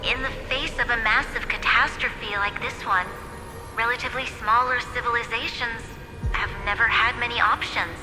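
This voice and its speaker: A woman's voice: on the high side, fairly even intonation, moderate pace, natural voice. An actress playing a dignified, resolute young female leader in a video game, speaking formally and earnestly. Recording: long reverb, loud music behind.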